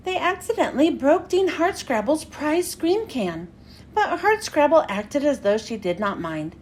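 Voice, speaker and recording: A woman reading a children's storybook aloud as a read-aloud host, with expressive, clearly phrased storytelling. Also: sits high, measured pace, slight background noise.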